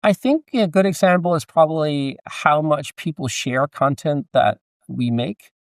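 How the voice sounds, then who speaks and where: A man with a Danish accent speaking casually as an interview guest, in a somewhat halting, hedging way. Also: fairly high pitch, no room sound, clean studio sound. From Denmark. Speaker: podcast guest, entrepreneur and author.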